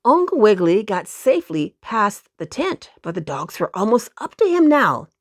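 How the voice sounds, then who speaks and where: A woman's voice: mid-range voice, big pitch swings, normal speed, no room sound, studio quality. A woman narrating a children's storybook expressively, speaking directly to young listeners with dramatic emphasis.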